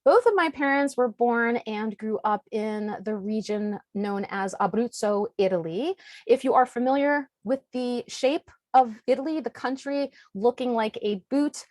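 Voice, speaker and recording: A woman's voice: fairly high pitch, a little slow, darkish tone, no room sound. A woman dietitian hosting a webinar, presenting in an organized, scripted way over a video call. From United States. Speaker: registered dietitian and webinar host.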